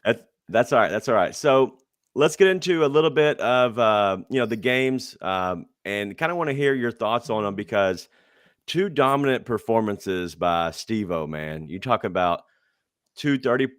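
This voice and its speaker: A man hosting a casual sports podcast, upbeat and quick to hype things up. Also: fairly low pitch, expressive, clear, resonant tone, no room sound, clean studio sound. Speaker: podcast host.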